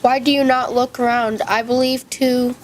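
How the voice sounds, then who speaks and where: A woman's voice: on the high side, little pitch movement, measured pace, a little hiss or hum, close to an everyday voice. A woman voicing a sly talking wolf that sweet-talks with feigned kindness, read aloud from a storybook. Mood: coaxing.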